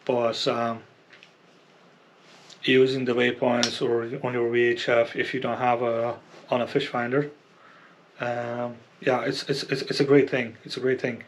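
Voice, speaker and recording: A man's voice: medium pitch, little pitch movement, measured pace, a little hiss or hum. A man giving a casual, unscripted product review in a hesitant, rambling way, often repeating himself. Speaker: product reviewer and kayak angler. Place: indoors at home near a computer.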